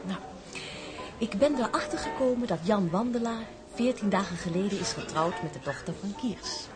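Actress as a sly, lilting society journalist. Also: female voice, mid-range voice, lively intonation, moderate pace, over music, lightly acted. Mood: sly, curious. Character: flirtatious, sly female journalist, elegant and scheming. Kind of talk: radio drama acting.

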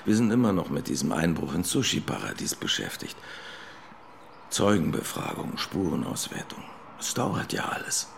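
An actor playing a dry, formally polite police detective who talks in a deliberate, insinuating way in a radio drama. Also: male voice, extremely low pitch, wildly swooping pitch, vocal fry, slight background noise, exaggerated voice. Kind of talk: naturalistic German radio drama acting.

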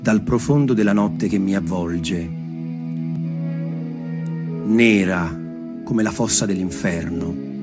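A man telling stories and reading texts aloud as a theatre performer, expressive and deliberate in his delivery. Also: deep voice. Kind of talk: storytelling with dramatic readings. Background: music.

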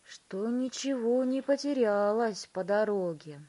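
A woman voicing an excitable, theatrical male inventor in a children's story, speaking in emphatic, drawn-out phrases. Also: fairly high pitch, voice pushed up. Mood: ominous, measured.

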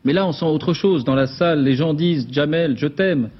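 A man's voice: fairly high pitch, normal speed, breathy edge. A man interviewing a guest on television, probing and pressing him with questions. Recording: dull archive TV audio with faint noise.

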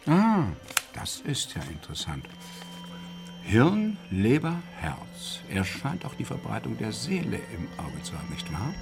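An actor playing an inquisitive, eccentric psychiatrist in a German radio crime drama, speaking deliberately and theatrically. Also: male voice, mid-range voice, wildly swooping pitch, vocal fry, over music, full character voice. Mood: intrigued. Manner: theatrical, deliberate, fond of tag questions. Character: inquisitive, eccentric male psychiatrist, measured and probing.

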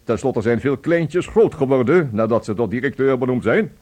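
An actor in a radio play playing a fussily polite company official who speaks in a formal, pompous and somewhat hesitant manner. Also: male voice, mid-range voice, animated, moderate pace, background noise, natural voice. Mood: pompous, jovial.

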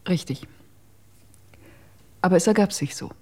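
An actress playing a guarded, insistent female witness in a German radio crime drama, insinuating and hushed. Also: lowish voice, unhurried, breathy edge.

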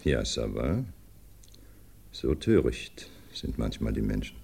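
Very deep, gravelly, pompous doctor. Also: male voice, very animated, slow pace, darkish tone, slight background noise, heavily acted. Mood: resigned. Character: stern, skeptical male doctor, proud, gruff sparring partner. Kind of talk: 1950s German radio drama acting. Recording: 1950s radio drama studio recording.

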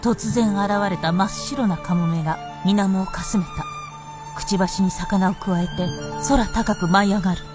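A woman's voice: medium pitch, normal speed. A woman giving scripted narration as the narrator of a Japanese radio drama. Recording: loud music under the voice.

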